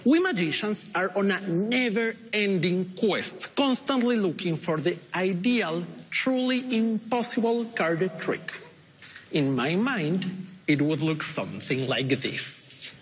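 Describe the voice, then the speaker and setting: A man's voice: sits high, animated, takes it slowly, long reverb tail, slight background noise. A man from Ecuador with an Ecuadorian Spanish accent, performing as a magician on a TV stage with playful, coaxing showman's patter. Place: TV studio stage before an audience.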